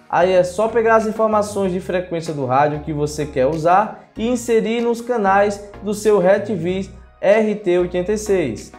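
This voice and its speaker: A Brazilian man narrates a scripted product review. His voice sits high for a man, and music plays softly behind him.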